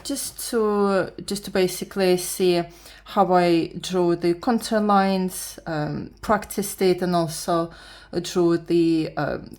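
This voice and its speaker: A woman from Latvia whose first language is Russian, speaking with a Russian accent and just woken up. She talks her way through a drawing lesson in a halting manner, restarting often and reading the instructions aloud. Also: on the low side, darkish tone. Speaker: beginner art vlogger. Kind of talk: casual drawing tutorial.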